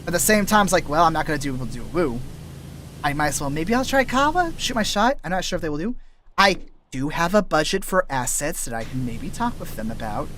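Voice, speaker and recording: A man with a fairly high, slightly raspy voice rambles casually during a game stream, trailing off and restarting his sentences. His voice sounds worn from recent voice work. A faint hiss sits under the recording. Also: animated, a little fast, a bit bright. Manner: chatty, loose, trailing restarts and asides. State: tired voice from lots of voice work. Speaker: VTuber streamer. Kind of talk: casual rambling while gaming.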